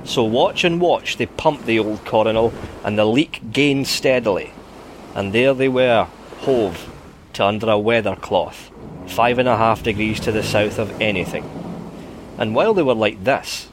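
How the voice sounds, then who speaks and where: A man's voice: lowish voice, moderate pace, background noise. A man narrating a scripted sea yarn dramatically, in a colloquial storyteller's manner.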